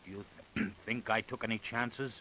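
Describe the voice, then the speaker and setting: Gloating confessed killer, forceful piercing voice, emphatic, theatrical, vintage 1930s radio crime drama. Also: male voice, lowish voice, steady tone, normal speed, poor audio. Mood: bitter, brooding. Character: defiant, gloating confessed wife killer, driven half-crazy.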